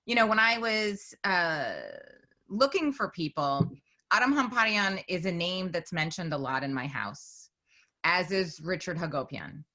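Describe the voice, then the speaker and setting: A woman's voice: lowish voice, expressive, unhurried, sharp tone. A woman in her forties from Northern California, a documentary filmmaker telling her story in a chatty, meandering way on a video call. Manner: chatty, storytelling, many "you know"s. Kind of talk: conversational panel answer.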